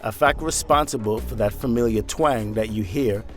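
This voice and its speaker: Male narrator, news voice-over, rhetorical questions, soft music behind. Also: lowish voice, normal speed.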